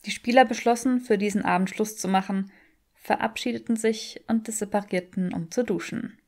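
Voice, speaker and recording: Woman reading a story out loud like an audiobook, normal pitched voice. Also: steady tone, moderate pace. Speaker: audiobook narrator. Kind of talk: scripted fan fiction narration.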